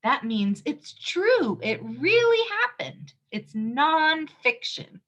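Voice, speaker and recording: A woman's voice: high voice, sing-song, slow, a bit bright, poor audio. A woman reading a picture book aloud to young children, explaining things simply and emphatically and asking her listeners questions.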